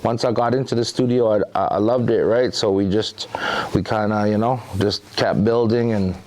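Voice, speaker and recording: A man from the Bahamas speaks as a rapper guest in an interview. His voice sits fairly low, and he talks in a relaxed, easygoing way, often adding "you know.". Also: normal speed. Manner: laid-back, loose, often says "you know". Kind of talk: casual interview talk.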